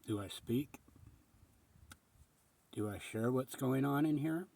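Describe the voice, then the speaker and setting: A man with a husky voice giving a rambling, reflective spiritual monologue in an earnest, contemplative manner with emphatic pauses. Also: mid-range voice, a little mellow. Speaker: spiritual speaker.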